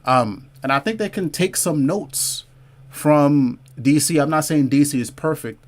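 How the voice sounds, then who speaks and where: A man's voice: fairly high pitch, a little slow. A man hosting a casual comics livestream, talking loosely to his chat, reading out viewer comments and repeating phrases to drive a point home. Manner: says y'all and man, asks viewers to comment below. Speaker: comic book livestream host.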